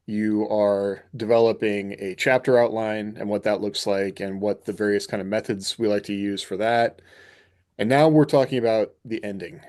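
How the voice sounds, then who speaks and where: A man's voice: lowish voice, steady tone, moderate pace, a little mellow. A man hosting a podcast, talking casually and explaining his points in a conversational way. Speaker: podcast host. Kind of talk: casual discussion of fiction writing.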